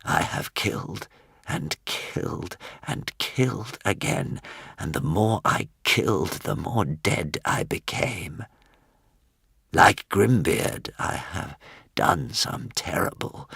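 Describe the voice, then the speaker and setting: A man voicing a huge old dying dragon in a storybook, solemn and remorseful, speaking slowly in a weak, trembling whisper. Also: deep voice, expressive, gravelly, a bit bright, slightly rough, no echo, studio quality, full character voice. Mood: weary, remorseful. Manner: slow, grave, halting delivery.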